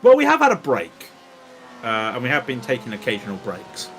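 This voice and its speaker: A man's voice: mid-range voice, wildly swooping pitch, a little fast, a bit bright, soft background music. A man doing live commentary on an online endurance race, chatty and joking between updates, his throat dry after hours on air. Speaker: sim racing commentator.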